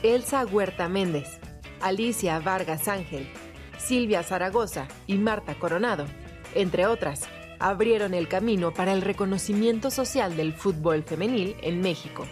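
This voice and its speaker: A woman's voice: medium pitch, moderate pace, soft background music. A woman reading scripted documentary narration.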